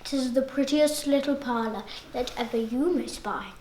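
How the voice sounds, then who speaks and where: Young girl playing cunning spider, whispery, coaxing, flattering, storybook poem, echoing room. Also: high-pitched, normal speed, slight background noise, close to an everyday voice. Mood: sly coaxing. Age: child. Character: sly, cunning, flattering male spider luring prey.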